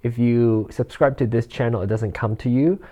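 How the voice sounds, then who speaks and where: A man's voice: medium pitch, steady tone, moderate pace, dark tone, highs cut off. A man of forty hosting a solo podcast in a casual, rambling way, with fillers, restarts and frequent chuckles. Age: 40s. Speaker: podcast host. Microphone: handheld or desk mic with rustle.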